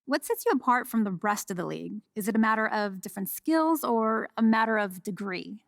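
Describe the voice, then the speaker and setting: A woman playing a persistent, composed sports reporter in a video game, asking measured press conference questions. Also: a little high, animated, creaky, dry recording, clean studio sound. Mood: composed, probing.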